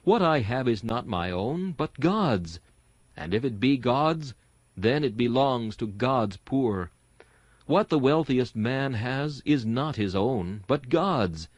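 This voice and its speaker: A man's voice: mid-range voice, no echo. Solemn man reading a sermon, dry sound. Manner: solemn, earnest, rhetorical with deliberate emphasis. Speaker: sermon reader. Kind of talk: read-aloud old-fashioned sermon.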